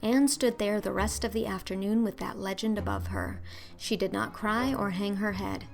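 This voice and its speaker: A woman's voice: mid-range voice, steady tone, moderate pace, gravelly, faint music behind. A woman reading a children's story as scripted narration, in a theatrical, emphatic storytelling manner. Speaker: audiobook narrator.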